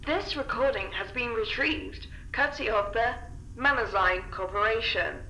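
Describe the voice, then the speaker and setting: Crisp-toned, impersonal corporate announcer. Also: female voice, on the high side, pushed and bright, echoey room, a little hiss or hum, low-quality recording. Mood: official, detached. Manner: measured, detached, official. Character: formal, impersonal female corporate recording announcer. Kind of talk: scripted announcement.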